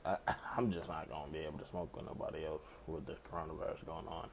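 Raspy young male podcast host, very low and gravelly, laid-back rambling delivery. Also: little pitch movement, quick pace, a little hiss or hum. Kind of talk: casual solo podcast chat.

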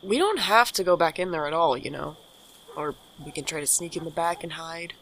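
A woman's voice: deep voice, animated, fairly quick, a bit bright, a little hiss or hum, poor audio. A woman playing a young, caring friend with dry, casual banter in an audio drama. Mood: wry, easy. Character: young Micah, teasing, caring friend and host. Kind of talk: naturalistic audio drama acting.

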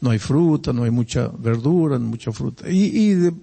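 An older man from Peru with a Peruvian Spanish accent, preaching a sermon and telling personal stories, animated and swinging between loud emphasis and quiet asides. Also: mid-range voice, normal speed, gravelly, slightly rough, in a large hall.

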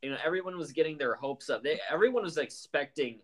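A man's voice: on the high side, expressive, fast talker. A man co-hosting a podcast, talking through his thoughts on a film in a hedging, step-by-step way. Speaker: podcast co-host. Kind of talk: casual movie discussion.

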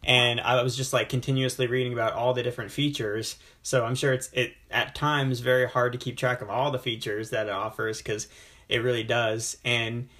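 A man's voice: medium pitch, flat delivery, moderate pace, bright, forceful tone. A man hosting a casual podcast interview, talking enthusiastically and at length, often stopping to say "you know". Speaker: podcast host.

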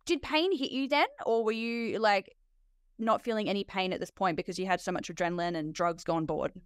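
An Australian woman, a nurse hosting a podcast interview, talking in an animated way with frequent laughs. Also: mid-range voice, a little fast, clear, resonant tone, no echo, studio quality. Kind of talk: conversational interview.

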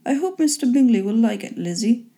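A woman voicing a dry, teasing father in a storybook reading, in a measured, mock-formal manner. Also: on the high side, lively intonation, vocal fry, mellow. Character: dry, teasing, unruffled father of grown daughters.

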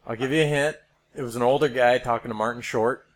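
A man's voice: mid-range voice, steady tone, fast. A man in his thirties co-hosting a podcast, talking casually in a joking, teasing way. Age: 30s. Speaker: podcast co-host. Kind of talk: casual chat. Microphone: podcast microphone.

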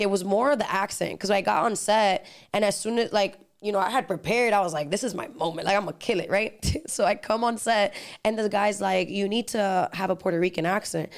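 Fast-talking young woman guest. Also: mid-range voice. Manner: animated, fillers like "like", switches into Spanish phrases. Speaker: guest, singer and actress. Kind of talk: casual interview chat.

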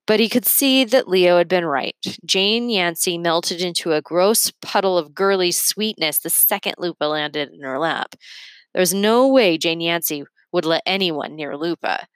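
A woman's voice: lowish voice, moderate pace, clean tone, no echo. A woman reading a children's book aloud as a storyteller. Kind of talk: read-aloud storytelling with character voices.

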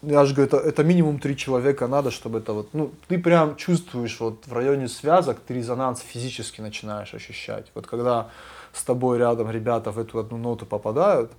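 A man's voice: medium pitch, fast, darkish tone. A young adult man, a guest musician, explaining things earnestly and with animation in a casual conversation.

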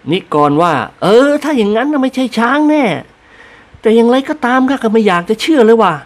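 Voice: medium pitch, animated, a little fast, pitched above the performer's own voice, close to an everyday voice. A reader voicing a teasing, blunt man mocking a servant's friend in an audiobook.

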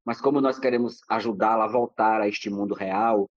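A man's voice: on the low side, fairly even intonation, normal speed, dry recording, highs cut off. A Brazilian man, a podcast guest, speaking reflectively with many restarts and fillers. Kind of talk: reflective casual talk.

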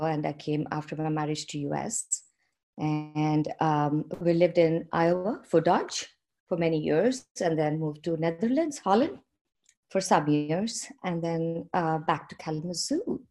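A woman's voice: low voice, unhurried, mellow. A woman, a filmmaker guest talking freely with frequent fillers and small laughs. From India. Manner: chatty, with frequent fillers and light laughter between remarks. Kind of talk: conversational interview.

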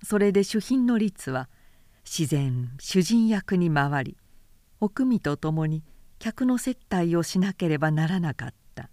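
A woman reading literary fiction as a narrator, with measured delivery and careful enunciation. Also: lowish voice, dark tone, no echo, clean studio sound. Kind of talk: scripted literary audiobook narration.

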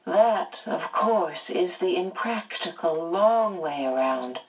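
A woman voicing a kindly, patient otherworldly female guide who explains gently, recorded at a distance. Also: fairly low pitch, expressive, slow pace. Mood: gentle.

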